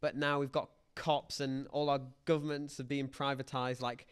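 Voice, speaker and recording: A man's voice: mid-range voice, flat delivery, moderate pace, smooth. A man hosting a casual film discussion, opinionated and prone to acting out hypothetical reactions. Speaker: podcast host.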